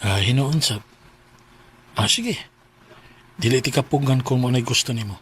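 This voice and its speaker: A man's voice: medium pitch, normal speed, fairly bright tone, a little hiss or hum, close to an everyday voice. A man with a Cebuano Filipino accent playing a devoted, earnest young husband in a radio drama, speaking reassuringly. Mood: caring.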